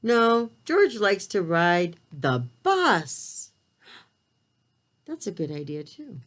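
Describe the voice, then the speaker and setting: A woman reading a picture book aloud to young children, expressive and talking to them in simple, child-directed language. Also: medium pitch, very slow. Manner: expressive storytelling delivery. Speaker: preschool read-aloud storyteller.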